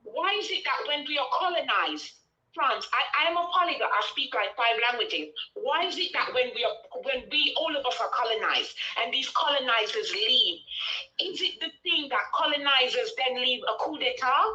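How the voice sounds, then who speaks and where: A woman's voice: high-pitched, animated, moderate pace, sharp tone, echoey room, dull audio. A Jamaican woman hosting a live-stream chat, talking earnestly and at length, slipping into patois now and then. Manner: rambling, earnest, slips into patois.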